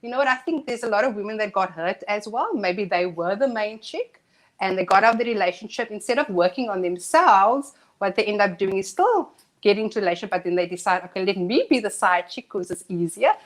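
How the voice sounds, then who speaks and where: A woman co-hosting a live talk show who talks earnestly and thinks out loud. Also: mid-range voice, expressive, a little fast. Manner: earnest, reflective, thinks aloud. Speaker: co-host. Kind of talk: casual discussion on a live show.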